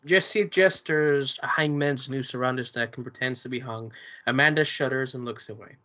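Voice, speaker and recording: A man's voice: medium pitch, moderate pace, bright, forceful tone, dull audio. A man reading stage directions aloud at a table read, plain and matter-of-fact, with small slips.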